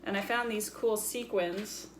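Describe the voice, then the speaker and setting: A woman's voice: medium pitch, steady tone, unhurried, a little hiss or hum, rough recording. A woman presenting a children's story time, chatting casually and playfully as she shows things and explains them simply. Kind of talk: casual show-and-tell for children.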